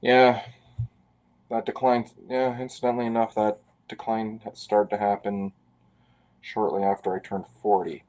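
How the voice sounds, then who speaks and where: A man's voice: lowish voice, level tone, moderate pace, long pauses, a little mellow. A man in his forties from Canada, chatting casually and rambling with self-deprecating asides while he draws on a live stream. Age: 40s. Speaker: artist live-streaming a drawing.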